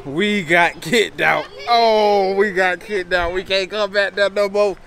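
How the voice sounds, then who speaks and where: An American prank vlogger chatting excitedly and casually to the camera, playfully repeating phrases over and over. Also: medium pitch, takes it slowly, gravelly. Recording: noisy handheld recording, vehicle and street sounds.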